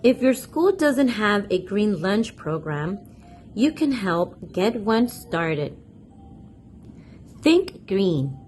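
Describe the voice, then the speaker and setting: A woman hosts a children's read-aloud with a lively, swooping delivery. She takes her time over the words and speaks to young listeners directly. There is faint noise behind her voice. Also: medium pitch. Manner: deliberate, addresses young listeners directly with questions. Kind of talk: children's picture book read-aloud, storytelling to kids.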